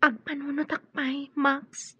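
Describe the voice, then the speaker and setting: A woman's voice: high voice, flat delivery, takes it slowly, no room sound. A woman playing a wary, fearful young woman torn by a family feud in a radio drama. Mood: hesitant. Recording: muffled, lo-fi radio drama audio.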